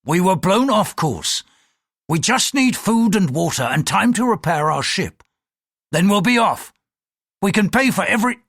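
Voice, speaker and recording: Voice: medium pitch, expressive, measured pace, a bit bright, breathy edge, dry recording, studio quality, voice pushed up, full character voice. An audiobook reader voicing a bold, taunting ship's pilot who talks bluntly and defiantly. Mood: wary, insistent.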